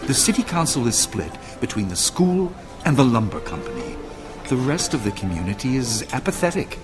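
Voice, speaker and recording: Earnest, formal schoolman, expressive pitch. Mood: grave, urgent. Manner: formal, measured, persuasive. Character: earnest, courteous male school leader, gravely concerned. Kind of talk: audio drama acting. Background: music.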